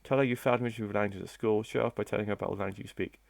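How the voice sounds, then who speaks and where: A man doing let's play commentary who jokes, mocks and reads options aloud at a rapid clip. His voice is on the low side and sounds dry and close. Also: steady tone, vocal fry, no echo. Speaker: gaming host.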